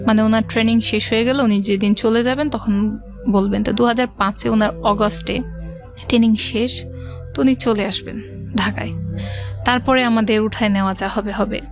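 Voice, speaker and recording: A woman's voice: a little high, steady tone, normal speed. A middle-aged woman with a Bangladeshi accent, a guest telling her life story conversationally, with some English words mixed in. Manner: conversational, quotes past dialogue, mixes in English words. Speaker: interview guest. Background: background music.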